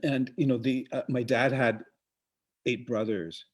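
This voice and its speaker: A man's voice: mid-range voice, fairly even intonation, measured pace, husky. A man from the Congo who grew up in Uganda, co-hosting a casual live-streamed chat with many fillers and loose, trailing phrases. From Congo, raised in Uganda. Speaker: co-host, museum programmer.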